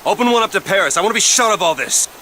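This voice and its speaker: A man's voice: high-pitched, animated, rapid-fire, slight background noise, poor audio. An actor playing a blunt, desperate, guilt-ridden father in a dramatic video game scene. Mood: impatient, insistent. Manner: blunt, emotionally charged. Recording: loud music over the voice.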